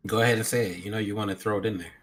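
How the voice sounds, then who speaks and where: A man's voice: lowish voice, fairly even intonation, very fast, a bit bright, husky. A man on a podcast who teases the others and chimes in with short remarks. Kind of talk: casual podcast chat.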